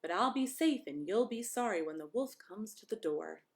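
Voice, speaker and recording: A woman's voice: medium pitch, expressive, normal speed, natural voice. A woman voicing a busy, sensible male pig from a storybook, the reassuring brother of the family. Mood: warning.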